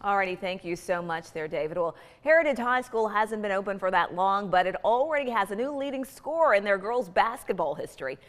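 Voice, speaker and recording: A woman reads scripted news as an anchor. Her mid-pitched voice moves in a lively, varied melody, with a long reverb around it. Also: brisk, hardly any pauses.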